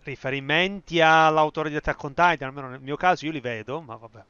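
A man's voice: a little high, fast talker, pushed and bright, smooth, no echo. A young Italian man giving casual live commentary on a game he is streaming, with game music behind. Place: small room indoors. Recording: slightly dull streaming audio.

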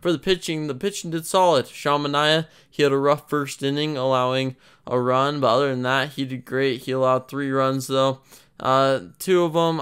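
Young guy doing a casual fan game recap, super clean smooth voice, mid pitch. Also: fairly bright tone. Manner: earnest, frustrated fan analysis with restarts. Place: voice-over over game footage.

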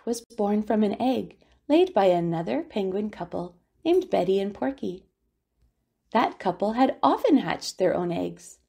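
A woman reading a children's picture book aloud as a storyteller, with expressive, deliberate delivery and drawn-out words. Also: medium pitch. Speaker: children's storyteller at a family centre.

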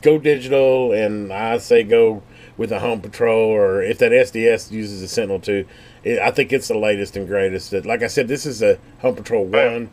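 A man's voice: fairly low pitch, normal speed, a little mellow. A man giving a casual, unscripted product demonstration in a rambling, folksy way, thinking aloud as he goes. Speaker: scanner hobbyist giving a product overview.

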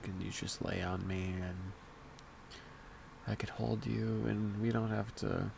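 A man playing a worried, devoted boyfriend in an intimate ASMR roleplay, speaking in a halting, tender hush that often drops toward a whisper, with a gravelly edge. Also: fairly low pitch, heavily acted. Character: worried, devoted boyfriend comforting his partner. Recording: steady fan noise behind the voice. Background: whirring fan.

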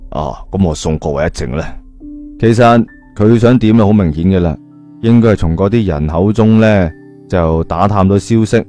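Low, mellow male Cantonese storyteller. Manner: chatty, rhetorical asides. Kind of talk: colloquial dramatic story narration.

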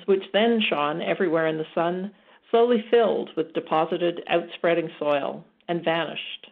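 A woman's voice: on the low side, creaky. A woman reading a nature book aloud. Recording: tinny phone-like sound.

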